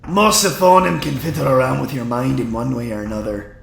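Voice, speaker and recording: Gruff, blunt fantasy rogue played by a man, heavy gravel in a forceful voice, rough colloquial speech, roomy reverb. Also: medium pitch, brisk. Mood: gruff, matter-of-fact. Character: gruff, crude, practical older rogue companion. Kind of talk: fantasy audio drama acting. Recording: roomy reverb, music underneath.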